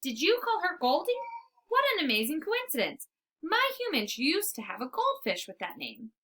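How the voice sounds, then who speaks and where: A woman voicing an eager, boastful young male dog in an excitable, earnest storybook read-aloud. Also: high voice, moderate pace, pitched above the performer's own voice, exaggerated voice.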